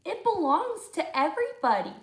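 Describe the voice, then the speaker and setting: A woman's voice: extremely high pitch, big pitch swings, moderate pace, echoey room, pitched above the performer's own voice, heavily acted. A woman voicing an assertive little girl in a picture book, protesting with emphasis. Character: assertive little girl standing up to a bossy boy.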